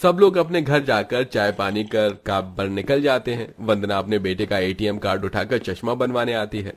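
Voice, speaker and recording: Fairly low-voiced man narrating a story. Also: moderate pace. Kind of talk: scripted Hindi cartoon story narration.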